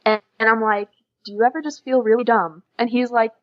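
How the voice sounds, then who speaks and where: Young American female guest, fairly high voice, chatty and informal, dull but dry call recording. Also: fairly even intonation, a little fast, dry recording. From USA. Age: young adult. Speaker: interview guest, exchange student. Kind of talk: casual chat. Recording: dull lo-fi internet call audio.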